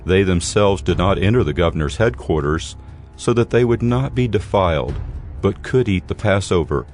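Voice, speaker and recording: A man's voice: sits low, animated, normal speed, a little mellow, soft background music. A man reading scripted scripture narration for a dramatized production.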